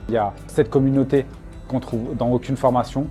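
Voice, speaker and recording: Male, 40, warm-toned participant testimonial, unscripted, faint music underneath. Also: mid-range voice, steady tone, brisk. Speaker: course participant giving a testimonial. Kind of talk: spontaneous filmed testimonial.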